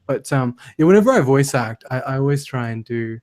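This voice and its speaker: A man's voice: medium pitch, lively intonation, a little fast, a little mellow. A man from Australia with an Australian accent, a voice actor chatting and teasing in a casual online hangout, laughing often.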